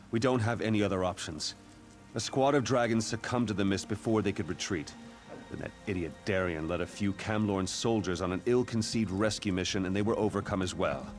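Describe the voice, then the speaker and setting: Man playing a stern, deepish fantasy commander over music. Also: close to an everyday voice. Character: stern male military commander fighting a necromancer. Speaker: game character. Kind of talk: scripted fantasy game dialogue.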